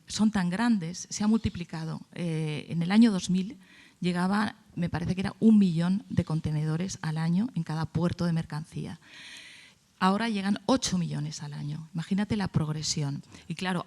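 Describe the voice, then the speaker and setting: A woman's voice: fairly low pitch, vocal fry, mellow. A woman with a Spain Spanish accent giving emphatic, argumentative opinions in a panel discussion, often posing questions and answering them. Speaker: panelist at a congress.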